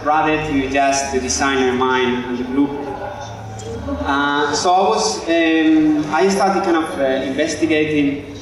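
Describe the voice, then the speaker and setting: A man in his thirties from Spain with a Spanish accent, giving an informal lecture in halting phrases over a handheld microphone. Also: fairly high pitch, steady tone, crowd noise. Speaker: guest lecturer, architect and educator. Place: open-sided stage before an audience. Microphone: handheld microphone through a PA. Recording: very echoey, distant from the microphone.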